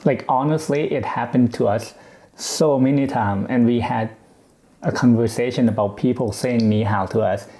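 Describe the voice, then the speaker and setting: Man from Thailand, native Thai speaker, frank, emphatic, warm tone, echoey room. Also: mid-range voice, moderate pace. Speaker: podcast co-host. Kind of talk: casual conversational podcast.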